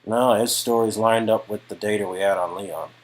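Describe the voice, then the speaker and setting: Man acting a low, weary detective. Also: steady tone, normal speed, close to an everyday voice. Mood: grave, intense. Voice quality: low and raspy. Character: weary, guarded male detective confiding painful past.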